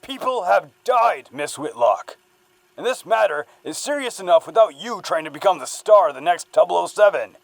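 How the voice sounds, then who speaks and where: An actor plays a stern police interviewer in an audio drama. The pitch moves widely around a middling register, and the sound is close and dry. The manner is measured, official and probing. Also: expressive, gravelly, no room sound. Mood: scornful, accusing. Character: stern police interviewer, patient then scornful, taking a statement. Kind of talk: dramatic audio fiction acting.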